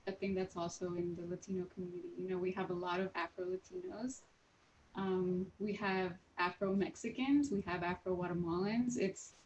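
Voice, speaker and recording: A woman speaking earnestly and deliberately, with pauses, in a casual panel discussion. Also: lowish voice, darkish tone, a little hiss or hum. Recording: dull lo-fi audio.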